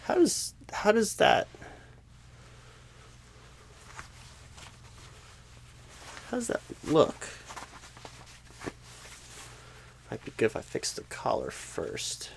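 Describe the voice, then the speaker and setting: Halting, swooping, bumbling job recruiter. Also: male voice, on the high side, huge pitch swings, creaky, a little hiss or hum, heavily acted. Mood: flustered denial. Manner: halting, stammering, odd pauses and sudden swoops. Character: bumbling, clueless, flustered male job recruiter, celebrity impression. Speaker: ASMR roleplay performer. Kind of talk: ASMR comedy roleplay.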